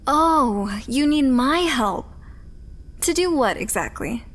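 A hard-up mother from a futuristic slum, pitched high. She is blunt and guarded, with a bitter, sardonic edge suited to audio fiction. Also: animated, takes it slowly. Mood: bitter, angry.

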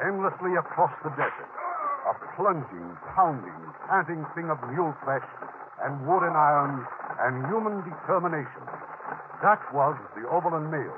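A man hosting a 1950s radio drama, narrating from a script with a dramatic, deliberate storytelling delivery. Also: fairly high pitch, background noise. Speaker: host and producer. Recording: tinny vintage radio with music.

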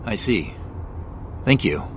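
Actor as polite, guarded man on a call while driving, hesitant, animated pitch, car noise around him, audio drama. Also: slow pace. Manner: hesitant, short guarded answers. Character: guarded, polite male driver taking a phone call. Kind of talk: naturalistic audio drama dialogue. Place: inside a car. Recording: steady car cabin noise.

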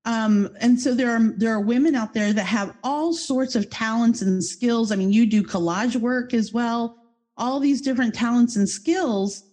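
A woman's voice: fairly high pitch, moderate pace, a little mellow. A woman hosting a podcast interview, effusive and a little goofy. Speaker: podcast host.

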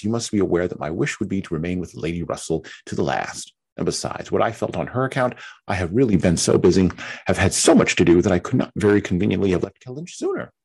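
A patient, sensible young woman with a calm, soothing manner, read by a man in his own deep, husky voice. The lines move with lively pitch. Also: full character voice. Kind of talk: reading a novel aloud.

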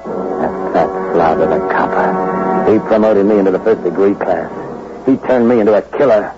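Bitter hard-boiled young fugitive, low-voiced and slangy, narrating a vintage radio thriller on a dull recording. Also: male voice, sing-song, normal speed, loud music behind the voice. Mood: bitter, brooding. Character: bitter young fugitive convict hunting his wife for revenge. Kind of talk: old-time radio crime drama acting with narration.

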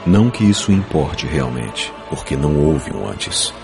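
Very deep-voiced solemn male narrator over music. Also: very animated, a little slow. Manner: solemn, portentous. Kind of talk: dramatic scripted epic narration. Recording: music behind the voice. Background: clear music.

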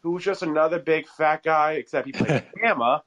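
A man's voice: on the high side, a little fast, a bit bright. A man talking casually as a fan guest on a sports call-in show.

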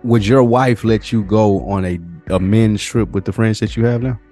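A man's voice: fairly low pitch, fairly even intonation, moderate pace, mellow. A man co-hosting a podcast in casual, slangy conversation. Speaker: podcast co-host. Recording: music clearly playing behind the voice. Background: background music with guitar.

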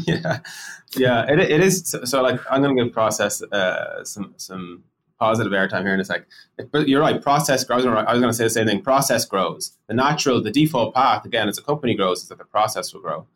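A man's voice: mid-range voice, animated, fairly quick, fairly bright tone. A man co-hosting a casual podcast, talking animatedly and firing off rhetorical questions as he acts out colleagues. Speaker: podcast co-host discussing product.

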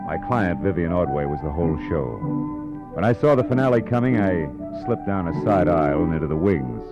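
Low-voiced hard-boiled private eye. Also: male voice, expressive, brisk. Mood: wry narrating. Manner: wry, clipped tough-guy delivery. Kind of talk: 1940s radio detective narration and acting. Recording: muffled old radio broadcast, music behind.

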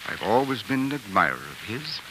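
Deep, polite gentleman, radio drama. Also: very animated, brisk. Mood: solicitous, hesitant. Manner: polite, hesitant. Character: courteous, awkwardly sympathetic gentleman. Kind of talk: 1940s radio drama acting. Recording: noisy, hissy old radio recording.

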